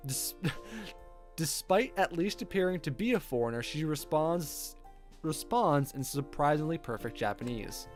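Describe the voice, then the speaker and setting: A man's voice: a little high, animated, a little slow. Male game streamer reading aloud, music behind. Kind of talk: reading visual novel narration aloud with casual commentary.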